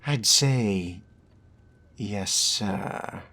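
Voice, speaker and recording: A man's voice: fairly low pitch, drawn-out words, heavily acted. A man voicing a refined, world-weary vampire fledgling in a game role-play, dry, formal and understated. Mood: guarded, polite. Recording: loud music behind the voice.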